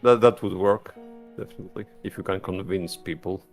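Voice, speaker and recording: A man's voice: sits low, fairly even intonation, brisk, creaky, faint music behind. A man playing a tabletop roleplaying game, discussing strategy in a considered, hesitant way.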